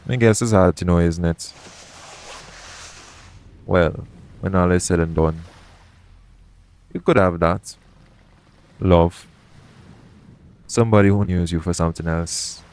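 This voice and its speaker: Man playing low-voiced pirate captain. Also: takes it slowly, long pauses, darkish tone. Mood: guarded, wistful. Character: shady, guarded pirate captain, roguish yet gentle. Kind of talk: intimate audio roleplay acting addressed to the listener.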